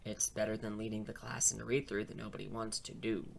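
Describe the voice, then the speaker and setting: A man playing a dry, self-assured high school English teacher in an audio drama, talking in a casual, rambling way. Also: little pitch movement, fast talker, vocal fry, slight background noise. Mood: dry, confident.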